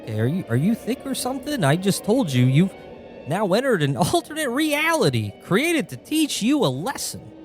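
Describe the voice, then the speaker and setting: A man's voice: sits high, huge pitch swings, normal speed, faint music behind. A man playing an exasperated guardian angel who explains things condescendingly in a radio-play parody. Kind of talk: parody of old-time radio drama.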